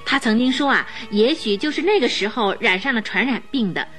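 A woman's voice: fairly high pitch, animated, brisk, fairly bright tone, faint music behind. A woman playing an anxious, talkative housekeeper in a radio drama, fretting and sighing as she speaks. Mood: worried, hesitant. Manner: fretful, sighing, exclaiming.

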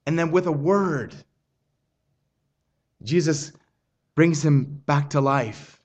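Male pastor, high voice, earnest measured preaching, stumbles and restarts. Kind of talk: sermon.

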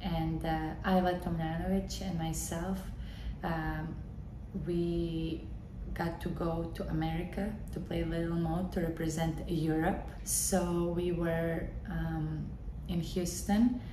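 A woman's voice: fairly low pitch, little pitch movement, takes it slowly. A thirty-year-old woman from Bosnia and Herzegovina with a Bosnian accent, hosting a solo podcast and telling her own story conversationally, repeating words for emphasis. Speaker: podcast host, tennis coach. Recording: roomy echo with clear background noise.

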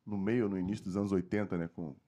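A man's voice: deep voice, steady tone, fast, warm tone. A man hosting a casual podcast interview in an informal, bantering way.

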